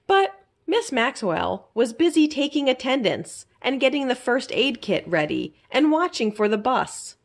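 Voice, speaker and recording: A woman reading a children's story aloud as a library storyteller, in an expressive, simple storytelling manner. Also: high voice, measured pace, hardly any pauses.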